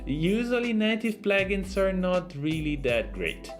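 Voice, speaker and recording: A man giving a casual, loosely phrased software tutorial as a sound designer. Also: high voice, sing-song, a little slow, soft background music.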